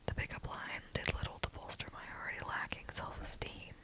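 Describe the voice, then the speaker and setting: A storyteller whispering a first-person story in a soft, hushed voice throughout. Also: brisk.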